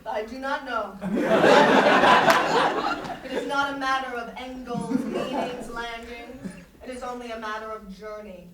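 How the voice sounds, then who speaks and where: A woman's voice: on the high side, fairly even intonation, takes it slowly, long pauses, a loud crowd. A woman playing a domineering, philosophizing interviewer in a staged play reading, making grandiose theatrical pronouncements. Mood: mystical, unmoored. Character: domineering, philosophizing interviewer pushing another to abandon ego. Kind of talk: staged play reading before a live audience. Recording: echoey stage from far off, audience noise.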